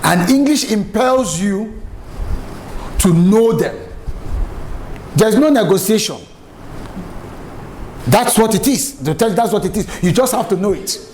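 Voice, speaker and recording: A Nigerian man in middle age gives a public speaking lesson, telling stories with emphatic energy. His voice sits fairly high and swings widely in pitch. A faint hum of room ambience sits beneath him. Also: unhurried, pauses often, low-quality recording. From Nigeria, raised in Lagos. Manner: animated, emphatic storyteller. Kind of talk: lively spoken lecture with anecdotes.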